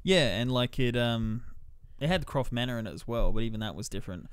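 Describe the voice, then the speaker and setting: Male podcast reviewer, medium pitch, conversational and measured, dry close recording. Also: smooth, no room sound. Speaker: film reviewer and podcast co-host. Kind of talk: casual film discussion.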